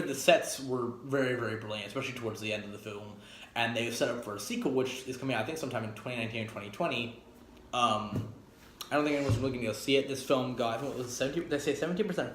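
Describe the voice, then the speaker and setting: Echoey man rambling through an opinionated review. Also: medium pitch. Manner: rambling, self-correcting, emphatic. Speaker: video game movie reviewer. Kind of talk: casual opinionated spoken review. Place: echoey spot up by a street. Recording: echoey, noisy, near street traffic. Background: traffic and engine noise.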